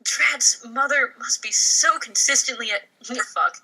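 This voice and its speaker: A woman's voice: fairly high pitch, takes it slowly, bright, forceful tone, husky, poor audio. A woman on an online voice call, chatting and reading a script casually as a podcast guest. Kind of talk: casual chat and table read.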